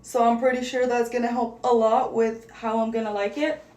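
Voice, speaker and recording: A woman's voice: on the high side, level tone, moderate pace, background noise. A young woman whose first language is Arabic, chatting casually as a vlogger while getting ready, rambling and searching for words. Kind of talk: casual get-ready-with-me chat. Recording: echoey room.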